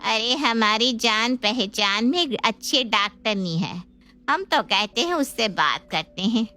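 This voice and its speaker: A woman's voice: a little high, expressive, a little slow, bright, forceful tone. A woman playing an outspoken, stubborn sister-in-law and mother of two who argues emphatically. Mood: argumentative. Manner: argumentative, emphatic. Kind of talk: Hindi family drama acting.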